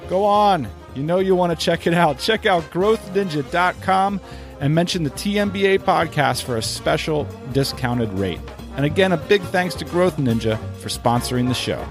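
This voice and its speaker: Higher-pitched male podcast host, light music behind. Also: lively intonation, normal speed. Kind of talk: scripted podcast interview questions and sponsor read.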